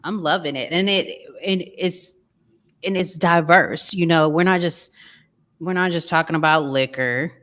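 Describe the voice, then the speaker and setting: A woman's voice: lowish voice, unhurried, a bit bright. A woman speaking as a panelist in casual podcast chat, affirming and repeating phrases for emphasis.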